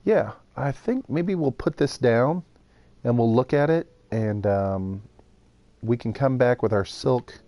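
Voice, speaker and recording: A man's voice: mid-range voice, takes it slowly, dark tone, no room sound. A man streaming a building game live, thinking aloud and chatting with viewers. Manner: thinks aloud while building, talks to chat. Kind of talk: casual live gameplay chat.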